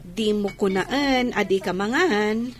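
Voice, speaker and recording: Woman acting sympathetic friend, concerned, slow delivery, mid pitch, audio drama, slight background noise. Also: lightly acted. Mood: caring.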